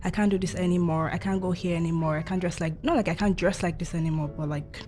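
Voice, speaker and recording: A woman's voice: fairly low pitch, steady tone, quick pace, dark tone. A woman in her twenties giving a friend earnest advice in a casual chat. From Nigeria. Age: 20s. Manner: advising, earnest.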